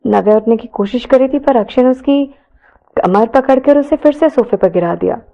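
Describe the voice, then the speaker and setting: A woman with a fairly high voice narrates a romance as a storyteller. Her delivery is dramatised, and the recording sounds slightly muffled. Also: moderate pace, creaky.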